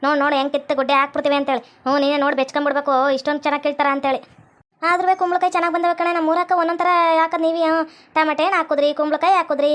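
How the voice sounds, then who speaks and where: A woman's voice: high voice, level tone, rapid-fire, natural voice. A woman playing chatty, bickering village farm labourers in a cartoon skit, garrulous and colloquial, with a North Karnataka accent. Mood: chatty, boastful.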